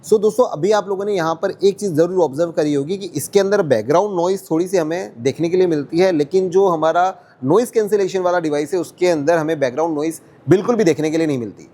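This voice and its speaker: A man's voice: on the high side, quick pace, few pauses, warm tone. A man hosting a product test vlog, explaining to viewers as friends and mixing in English words. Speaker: host testing walkie-talkie handsets.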